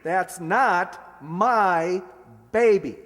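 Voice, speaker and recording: A man's voice: sits high, very slow, a bit bright, exaggerated voice. A man playing an earnest, flustered young son who stammers, in an old-time radio comedy. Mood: exasperated. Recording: echoey hall stage recording.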